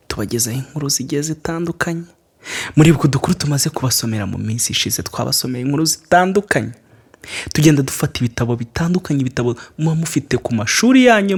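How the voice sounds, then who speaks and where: A man's voice: medium pitch, darkish tone, breathy edge. A man reading a storybook and addressing children directly as their storyteller.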